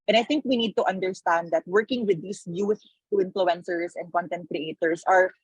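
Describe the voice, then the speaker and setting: A man's voice: high voice, breathy edge, no echo, rough recording. A man from the Philippines, a guest speaker talking earnestly in a panel discussion with frequent fillers. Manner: earnest, measured, uses fillers.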